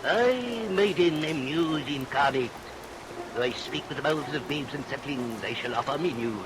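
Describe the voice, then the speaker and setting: An actor playing a plump, scheming, sanctimonious parson in vintage radio melodrama, preaching in florid, archaic biblical phrases. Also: male voice, mid-range voice, expressive, normal speed, close to an everyday voice. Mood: self-important. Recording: vintage radio recording under rain noise.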